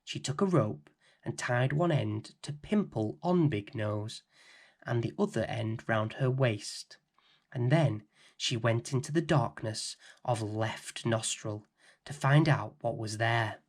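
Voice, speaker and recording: A middle-aged man hosting and reading a children's bedtime story in a gentle, expressive way. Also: medium pitch, takes it slowly. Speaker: storybook reader and host.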